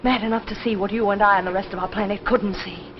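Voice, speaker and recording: A woman's voice: medium pitch, steady tone, fast, lightly acted. An actress playing an earnest, urgent female alien agent in a theatrical 1950s television drama. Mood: urgent, insistent. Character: earnest female alien agent pleading to save her people. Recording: muffled, noisy 1950s TV recording.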